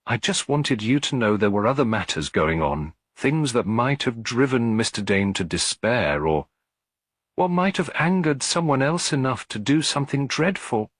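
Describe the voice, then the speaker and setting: A man's voice: medium pitch, animated, moderate pace, dry recording, studio quality, voice pushed up, lightly acted. A man voicing a timid, anxious young housemaid in an audiobook mystery, tremulous, hesitant and deferential. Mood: earnest, distressed.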